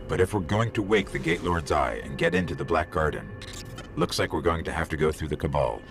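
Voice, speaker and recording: Game robot guide: low register, gravelly edge, wry, clever, conversational delivery. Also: male voice, expressive, a little fast, exaggerated voice. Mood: focused, urgent. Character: small floating robot companion, male, clever, wry, guiding. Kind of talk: scripted video game dialogue. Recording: loud music behind the voice. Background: loud music.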